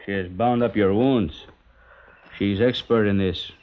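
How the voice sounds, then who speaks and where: Guy playing a low mocking guitar player, cold and taunting, muffled old radio sound. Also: fairly even intonation, unhurried, slight background noise, lightly acted. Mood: gruff. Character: sardonic menacing male guitar player. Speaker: emissary of the kidnappers. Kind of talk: 1950s radio drama acting. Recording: dull old radio broadcast recording.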